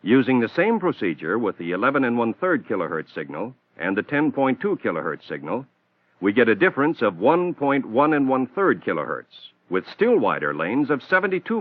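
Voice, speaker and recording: A man's voice: on the low side, very animated. Man narrating an old training film. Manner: measured, instructional. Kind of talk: scripted technical narration. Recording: tinny narrow-band old film soundtrack.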